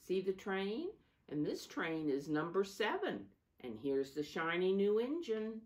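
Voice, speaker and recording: A woman reading a storybook aloud to young children as a teacher, deliberate and instructive, stressing key words and adding simple asides. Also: mid-range voice, big pitch swings, darkish tone. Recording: voice distant from the microphone.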